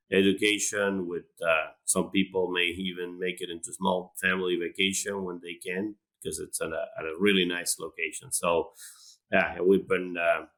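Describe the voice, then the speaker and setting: Man from Argentina, deep voice, bright tone, hesitant with lots of fillers, guest on an interview podcast. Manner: hesitant, many fillers and restarts. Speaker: animal science professor, guest. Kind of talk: casual interview talk.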